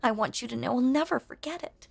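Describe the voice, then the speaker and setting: Woman acting a breathy, lonely, possessive ghost woman. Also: fairly high pitch, quick pace. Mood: proud, eerie devotion. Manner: genteel, old-fashioned phrasing, coaxing and hushed. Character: lonely female ghost, sweetly possessive, quietly sinister. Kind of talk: intimate scripted audio roleplay monologue.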